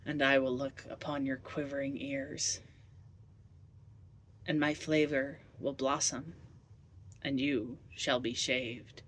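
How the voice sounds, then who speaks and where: A man giving a dramatic poetry reading with deliberate, whimsical, theatrical delivery. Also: mid-range voice, a bit bright, slight background noise. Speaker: poet reading own poems.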